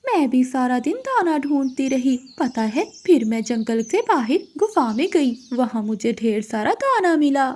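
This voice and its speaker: Woman voicing a scheming, high-pitched female cartoon crow. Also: very animated, unhurried, hardly any pauses, a little mellow, heavily acted. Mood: falsely helpful. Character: scheming, gossiping female crow stirring up quarrels. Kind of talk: Hindi animated moral story dialogue.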